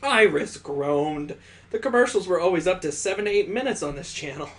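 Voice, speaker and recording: A man's voice: sits high, expressive, a little fast, a bit bright, a little hiss or hum. A man hosting a let's play, reading a visual novel aloud expressively with sarcastic asides.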